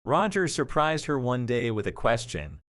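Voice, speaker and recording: A man reads as the narrator of a dramatic story. His mid-pitched voice moves with lively, varied intonation, and his delivery is measured and expressive. The sound is dry and close. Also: no echo.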